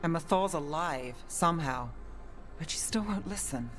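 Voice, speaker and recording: An actress playing a wry, world-weary fantasy game companion with a dry, measured delivery. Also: female voice, low-pitched, animated. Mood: wary.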